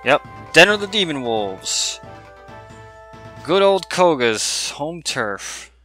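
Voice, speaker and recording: A man's voice: fairly high pitch, big pitch swings, slow pace, sharp tone, soft background music. A man doing casual gameplay commentary as a streamer, joking and swearing in slangy banter. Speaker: gaming streamer. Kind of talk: casual game playthrough commentary.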